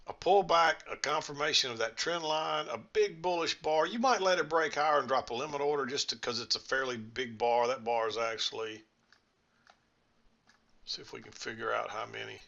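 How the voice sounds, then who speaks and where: Gravelly man reviewing charts. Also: a little high, bright, forceful tone, muffled recording. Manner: folksy, rambling, thinking aloud. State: slightly scratchy voice. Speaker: trading instructor. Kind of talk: screen-share chart walkthrough.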